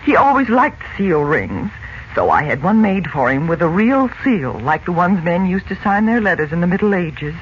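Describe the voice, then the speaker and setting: A woman's voice: lowish voice, big pitch swings, normal speed. An actress playing an anxious middle-aged mother in a 1940s radio drama, distressed over her missing husband. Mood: wistful, earnest. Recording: muffled, faintly noisy 1940s radio recording.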